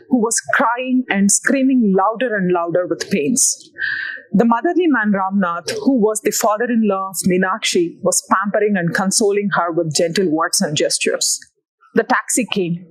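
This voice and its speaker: A woman with an Indian accent narrates a novel from a written text. Her voice sits at an average pitch for a woman. She reads as the author, in deliberate phrases that are set apart from one another. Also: poor audio. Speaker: author reading own novel. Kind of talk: scripted narration, reading a novel aloud.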